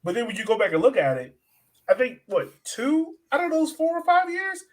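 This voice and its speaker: A man's voice: very high-pitched, animated, a little fast, rough recording. A man hosting a casual sports podcast, informal and conversational as he puts long questions to his guest. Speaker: podcast host.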